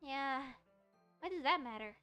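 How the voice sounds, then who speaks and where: A woman voicing a feisty, defensive high school anime girl in a visual novel, huffy and emphatic. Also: expressive, unhurried, sharp tone, exaggerated voice. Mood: indignant. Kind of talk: visual novel character read aloud. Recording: music behind the voice.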